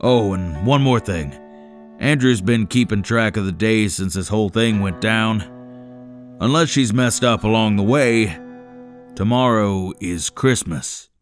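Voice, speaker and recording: Male actor as world-weary old man, frank camp elder, fairly low pitch, comic dub drama, faint music. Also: measured pace, lightly acted. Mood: subdued.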